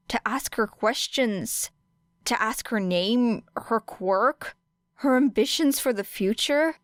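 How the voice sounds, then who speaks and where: Female story narrator: very unhurried, crisp and resonant, deliberate phrasing, dry sound. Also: mid-range voice, dry recording. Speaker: audiobook narrator. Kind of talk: scripted fiction narration.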